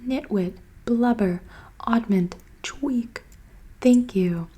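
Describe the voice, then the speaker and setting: A woman's voice: fairly high pitch, drawn-out words, warm tone, breathy edge, exaggerated voice. A woman voicing a cheerful, eccentric old male headmaster wizard, whispering. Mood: genial.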